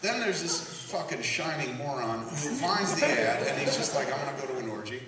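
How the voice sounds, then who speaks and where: Guy hosting a live podcast, swearing and doing silly voices, recorded by a camera far back in a big echoey room, crowd laughing loud. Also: mid-range voice, fairly quick. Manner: profane, animated storytelling with mocking voices. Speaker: podcast host. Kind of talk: live comedy podcast, reading news and riffing. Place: campus stage before a live audience. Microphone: hand-held camera recording from the audience.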